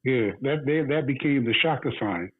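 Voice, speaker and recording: A man's voice: mid-range voice, moderate pace. A laid-back man with a Midwestern American accent, chatting casually as a guest with fillers and chuckles. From US mainland, Midwest. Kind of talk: casual chat. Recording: tinny phone line, dry.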